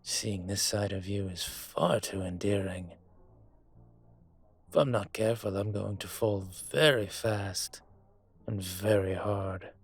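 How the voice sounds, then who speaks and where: Man playing a slow, husky dragon mafia boss. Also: on the low side, steady tone, heavily acted. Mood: teasing, smitten. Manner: unhurried, courtly, teasing, intimate. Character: older gentlemanly dragon mafia boss, suave, wealthy, courting. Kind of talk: romantic roleplay audio drama acting. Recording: music behind the voice.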